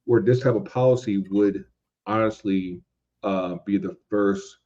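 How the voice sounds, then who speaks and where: Deep-voiced man, halting and mellow. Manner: halting, circling back over points. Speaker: podcast guest commentator. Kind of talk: casual podcast discussion. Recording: dull, lo-fi voice track.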